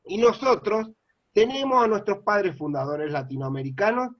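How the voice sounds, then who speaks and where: A man's voice: sits high, normal speed, dry recording. Earnest Argentine man lecturing, dull dry sound. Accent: Argentine Spanish. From Mendoza, Argentina. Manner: earnest, discursive, frequent "eh" and "este" fillers. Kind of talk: public lecture.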